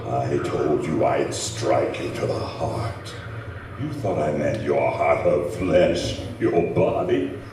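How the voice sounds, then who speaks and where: A man playing a vengeful, taunting man-made monster in old-time radio horror style, with menacing, grandiose delivery. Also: a bass voice. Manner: slow, menacing, grandiose declaiming. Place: community center stage, live performance. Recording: roomy live hall, distant mic, faint noise.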